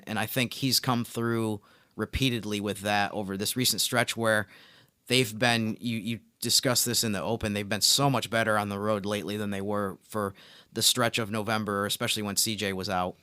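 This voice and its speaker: A man co-hosting a sports podcast, giving conversational analysis and explaining his points step by step in a measured way. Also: lowish voice, fairly even intonation, pushed and bright, smooth, dry recording.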